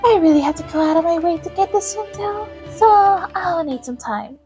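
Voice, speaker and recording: A woman's voice: very high-pitched, normal speed, dark tone, soft background music. A woman voicing a small, money-minded female merchant in an amateur game voice-over, calculating and self-important as she haggles. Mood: sly, bargaining.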